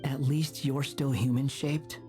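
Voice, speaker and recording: A woman playing a reserved, serious, pragmatic wanderer in a video game, with a dry, measured delivery. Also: very deep voice, fairly even intonation, over music.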